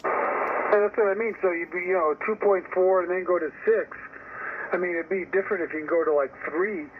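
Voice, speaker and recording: Tinny, higher-pitched man over noisy radio. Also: steady tone, fairly quick. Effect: radio transmission. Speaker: amateur radio operator. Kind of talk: casual technical chat. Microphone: heard over a radio link. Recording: tinny narrowband radio with static noise.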